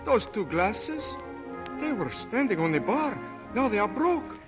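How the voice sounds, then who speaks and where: An actor playing a polite, flustered waiter in a 1940s radio drama. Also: male voice, sits high, sing-song, measured pace. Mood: polite, puzzled. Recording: muffled 1940s radio, music behind.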